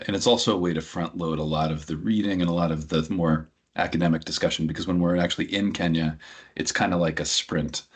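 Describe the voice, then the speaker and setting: A middle-aged man from New Hampshire with a very low, husky voice walks listeners through a study program. He talks conversationally, leaning on frequent ums and uhs as he explains. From New Hampshire, USA. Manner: filler-heavy, conversational explaining. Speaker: program director and anthropologist. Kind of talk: information session presentation.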